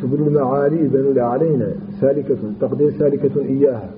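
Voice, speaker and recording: A man teaching a classical grammar lesson, explaining slowly with restarts and repetitions and chanting verse lines. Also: medium pitch, little pitch movement. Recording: tinny phone-like line with background hum.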